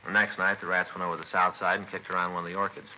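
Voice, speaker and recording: An actor playing a businesslike American police detective partner in a 1950s radio crime drama, with casual, terse delivery. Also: male voice, deep voice, little pitch movement, very fast, lightly acted. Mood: grave, informative. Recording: muffled, faintly noisy old radio recording.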